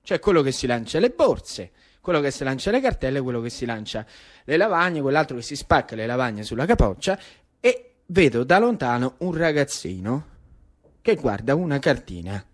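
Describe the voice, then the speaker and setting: A man's voice: medium pitch, sing-song, normal speed. A man with a Roman Italian accent performing a theatrical radio monologue in colloquial speech, with rhythmic repetition. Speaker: actor-storyteller.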